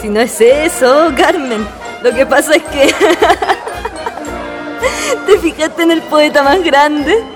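A woman's voice: high-pitched, animated, takes it slowly, long pauses. A woman playing a prim, easily embarrassed young woman who teases and scolds her friend in a radio play. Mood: teasing. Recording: music and crowd noise behind. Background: band music and crowd.

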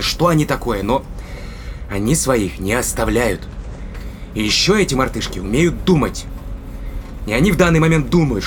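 An actor in an audio drama playing a determined spaceship captain who reasons emphatically and deliberately. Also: male voice, medium pitch, a little hiss or hum, natural voice.

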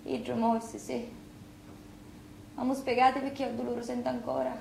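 An actress playing a bitter, weary, defiant widow at a wake in a stage drama, with a Sicilian accent. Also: female voice, fairly high pitch, big pitch swings, moderate pace, creaky, darkish tone, reverberant, a little hiss or hum, full character voice, poor audio. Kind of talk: stage drama acting in dialect.

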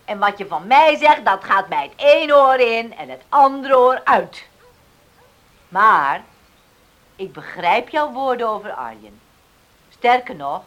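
A woman's voice: mid-range voice, a bit bright. An actress playing a strong-willed, outspoken farm widow and mother in a radio drama, teasing and defiant.

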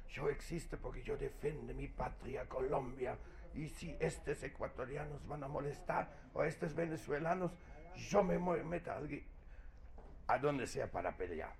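A man's voice: fairly high pitch, expressive, gravelly. A man giving a spiritual lecture as teacher, talking emphatically and acting out the voices of people he describes. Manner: slow, emphatic, dramatizes quoted voices.

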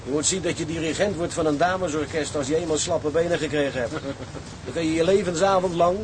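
An actor playing a wry, grumbling tugboat engineer in a Dutch radio drama. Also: male voice, on the high side, fast, natural voice. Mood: mocking, grumbling. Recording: clear sea and engine ambience noise.

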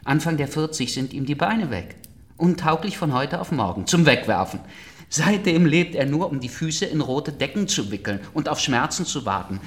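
A man's voice: on the high side, lively intonation, normal speed, close to an everyday voice. An actor playing a sardonic, sickly middle-aged nobleman in a radio play, agitated and theatrically mocking. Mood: bitter, agitated. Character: bitter, sardonic, ailing aristocrat in his forties or older. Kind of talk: German literary radio play acting.

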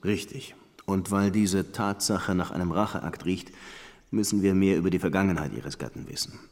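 An actor playing a dry, world-weary police lieutenant who narrates his case in a measured, wry way for a crime radio drama. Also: low-pitched, creaky, darkish tone, dry recording. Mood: probing.

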